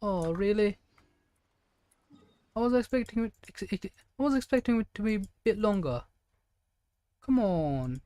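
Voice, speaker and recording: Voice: medium pitch, animated, a little slow, long pauses, dark tone, clear, resonant tone, dry recording. A game streamer giving casual gameplay commentary in a rambling, thinking-aloud way. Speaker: game livestreamer.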